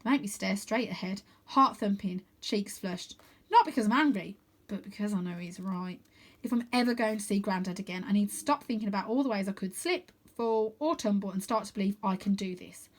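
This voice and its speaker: A woman reading a children's adventure book aloud as storyteller, with expressive, dramatic emphasis. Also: medium pitch, fairly quick.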